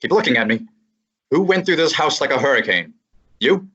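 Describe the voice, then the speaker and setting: A young man voicing a wisecracking male private eye who narrates a radio play, with a brisk, wry manner. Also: mid-range voice, animated, sharp tone, husky. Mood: urgent. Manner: brisk, wry, hard-boiled. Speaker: high school drama student. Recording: muffled lo-fi recording.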